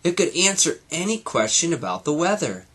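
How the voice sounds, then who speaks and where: A man's voice: a little high, very animated, moderate pace, a bit bright, breathy edge, pitched above the performer's own voice, heavily acted. A man voicing a cheerful, friendly cartoon mouse uncle who eagerly explains things, reading from a storybook.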